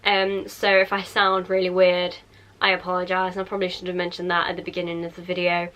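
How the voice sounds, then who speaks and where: A woman's voice: fairly low pitch, monotone, fast, fairly bright tone. Woman with a heavy cold. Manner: chatty and rambling, many ums and likes. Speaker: vlogger. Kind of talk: casual advice vlog.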